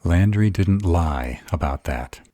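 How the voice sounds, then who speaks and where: A man playing an arrogant, calculating vampire in an audio roleplay, measured and formal. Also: low-pitched, expressive, dark tone, no echo, studio quality, heavily acted. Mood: composed. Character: arrogant male vampire, composed, calculating strategist.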